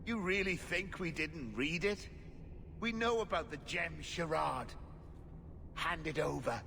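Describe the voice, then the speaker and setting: A menacing thug in a fantasy game, bitter and threatening. He speaks slowly with a gravelly creak, in a noisy reverberant space. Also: a little high, sharp tone, full character voice. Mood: bitter, angry. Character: vengeful, menacing male thug. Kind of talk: fantasy video game acting. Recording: noisy, long hall reverb.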